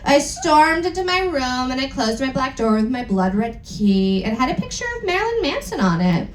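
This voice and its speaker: A woman performing a comedic dramatic reading on stage, with exaggerated emphasis, deliberate mispronunciations and joking asides. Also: high-pitched, big pitch swings. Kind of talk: comedic dramatic reading of bad fan fiction. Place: indoor event stage on a cruise. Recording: roomy live venue, faint background noise.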